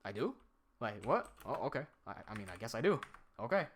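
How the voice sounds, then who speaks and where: A man's voice: mid-range voice, sing-song, fairly quick, background noise. A man with an American accent chatting casually on a live stream, reading out viewer questions and answering them as he goes. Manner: chatty, reads out viewer questions while fiddling with software.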